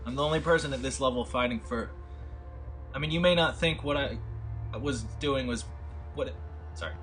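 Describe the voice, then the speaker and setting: A desperate mayor on a ledge read by a man doing the narration, halting and self-justifying as he pleads. Faint music plays behind. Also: mid-range voice, a bit bright, natural voice. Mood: pleading.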